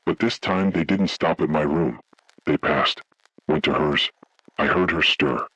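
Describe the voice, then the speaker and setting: Guy playing a haunted man telling a scary story, super deep raspy voice, slow ominous phrasing, grave and full of dread. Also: bright, forceful tone, dry recording, heavily acted, low-quality recording. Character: haunted man recounting childhood motel horror, grave, dread-filled. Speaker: horror story narrator. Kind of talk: scripted first-person horror narration.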